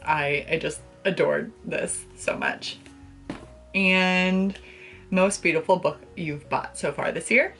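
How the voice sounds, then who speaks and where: A young woman chatting casually to the camera as a book vlogger, with an expressive, gushing and emphatic way of talking. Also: deep voice. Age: young adult. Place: small bedroom, indoors.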